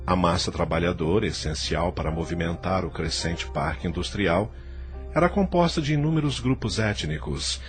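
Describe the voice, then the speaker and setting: A man's voice: low voice, lively intonation, normal speed, faint music behind. A man with a Brazilian accent narrating a scripted audio novel.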